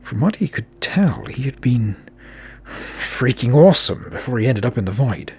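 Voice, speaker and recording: A man with a gravelly, husky voice narrating a fantasy audio drama in a deliberate, dramatic way. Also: mid-range voice, very animated, darkish tone.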